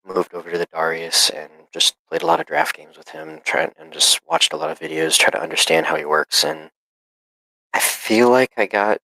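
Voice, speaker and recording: Deep gravelly man with a cough. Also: sing-song, normal speed, sharp tone, dry recording, rough recording. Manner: hesitant, many fillers. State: sick, coughing. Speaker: student player. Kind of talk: casual call conversation.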